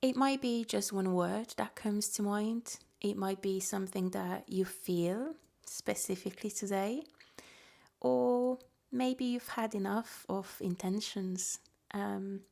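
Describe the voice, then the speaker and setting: A woman guiding a relaxation meditation as a yoga nidra teacher, soft-spoken and gentle, offering unhurried invitations. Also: medium pitch, gravelly.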